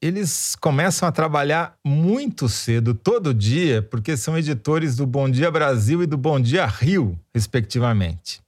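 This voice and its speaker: A Brazilian man co-hosting a podcast, reading out listener messages. He speaks in a wry, measured way at an ordinary pitch. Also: expressive. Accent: Brazilian Portuguese. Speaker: podcast co-host.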